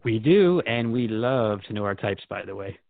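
A man's voice: lowish voice, expressive, normal speed, vocal fry, no echo, phone-quality sound. A man playing a curious, deferential interviewer, speaking in a polite, slightly hesitant conversational way in a scripted podcast. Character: Jeff, curious, deferential male human interviewer.

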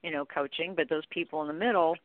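A woman's voice: sits low, quick pace, dry recording. A middle-aged woman speaking as an interview guest, explaining things informally and peppering her points with "you know". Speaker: guest, contact center training expert. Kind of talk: conversational interview discussion. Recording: narrow, tinny phone-like line.

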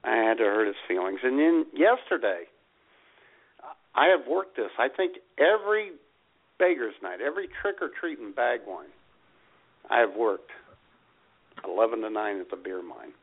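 A man co-hosting a casual comedy radio show, telling stories in an animated, exasperated way. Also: mid-range voice, moderate pace, long pauses. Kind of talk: casual comic banter and storytelling. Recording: tinny phone-line internet radio sound.

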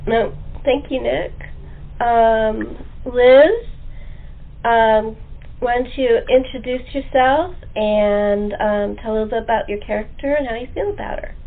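A woman's voice: on the high side, unhurried. A woman hosting a casual interview chat with a cast, often chuckling as she talks. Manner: chuckles often while talking. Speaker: host interviewing a radio play cast. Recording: tinny phone-line sound.

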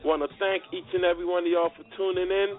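A man's voice: high voice, fairly even intonation, quick pace. A man hosting a casual talk radio interview in a slangy, conversational way. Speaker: radio show host. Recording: phone-like internet radio with background music.

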